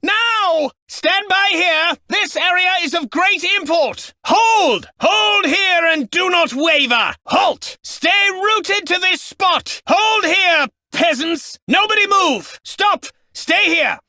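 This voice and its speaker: An actor voicing a pompous, haughty medieval lord in a game, barking orders and insults. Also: male voice, very high voice, animated, slow, pushed and bright, no echo, clean studio sound, heavily acted. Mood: commanding. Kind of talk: game voice lines.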